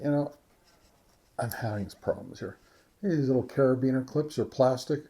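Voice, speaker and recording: Casual man reviewing gear, halting delivery. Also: medium pitch, vocal fry, mellow. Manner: halting, informal, conversational. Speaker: ham radio reviewer and vlog host. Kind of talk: casual product review and field test.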